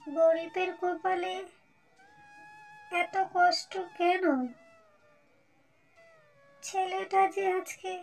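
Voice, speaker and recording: Woman playing a poor mother, high-pitched and very slow. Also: exaggerated voice. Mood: sorrowful, despairing. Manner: hesitant, pleading, drawn-out delivery. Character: poor, humble, anxious mother pleading for her child. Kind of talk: slow melodramatic cartoon dialogue.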